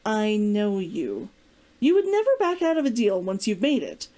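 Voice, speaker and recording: A woman reading aloud as a protective, gently teasing man in a storybook, measured and probing. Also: mid-range voice, wildly swooping pitch. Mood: concerned. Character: concerned, teasing adult man, protective old flame. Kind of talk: storybook character dialogue read aloud.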